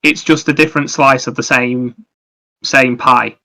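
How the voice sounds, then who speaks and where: A man's voice: medium pitch, fairly even intonation, a little slow, sharp tone. A man co-hosting a podcast in casual conversation, explaining ideas in a rambling, anecdotal way. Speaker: podcast co-host. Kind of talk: casual chat about video games and ideas.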